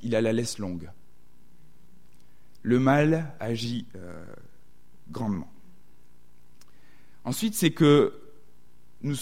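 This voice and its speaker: A man's voice: mid-range voice, a little mellow. A man with a southwestern French accent preaching a sermon, thoughtful and often hesitating to reword his thoughts.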